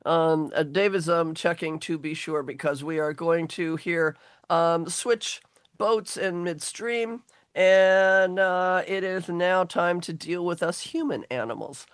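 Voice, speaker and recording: A woman's voice: fairly low pitch, slow, clean tone, no room sound, clean studio sound. A woman hosting a casual radio chat show, talking in a chatty, rambling way with many ums, her voice worn down by allergies. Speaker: radio host.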